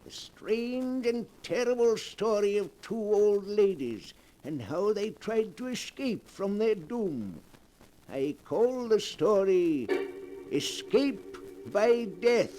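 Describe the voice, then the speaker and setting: Creepy keeper of the book, slow ominous old radio horror storyteller, high swoopy voice. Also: male voice, lively intonation, dark tone, soft background music, rough recording. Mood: ominous storytelling. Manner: melodramatic, savouring, ominous. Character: eerie, theatrical male keeper of a book of dark tales. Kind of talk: 1940s radio horror narration.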